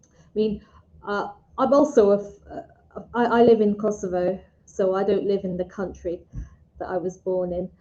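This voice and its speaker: A woman's voice: medium pitch, steady tone, normal speed, warm tone. A British woman tutoring English in a casual online chat, speaking in simple, encouraging, teacherly phrases over a muffled call. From Britain. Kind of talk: casual one-to-one lesson chat. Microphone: video call.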